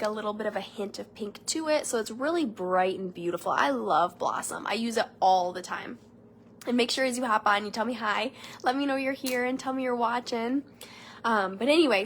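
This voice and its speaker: A woman's voice: a little high, slight background noise. A young woman with a nasal, stuffy voice from a cold, chatting casually through a live makeup tutorial at home. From Michigan, United States. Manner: chatty, rambling, friendly asides to viewers. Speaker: beauty consultant doing a live makeup tutorial. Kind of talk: casual live-stream chat. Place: home room.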